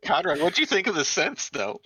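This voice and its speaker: A man's voice: high voice, very animated, a little slow, crowd noise, low-quality recording. A man chatting casually as a tabletop game player. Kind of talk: casual chat.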